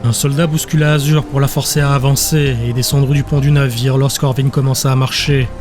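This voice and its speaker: A man's voice: medium pitch, flat delivery, a little fast. A man narrating a scripted fantasy audiobook in a dramatic storytelling style. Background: music.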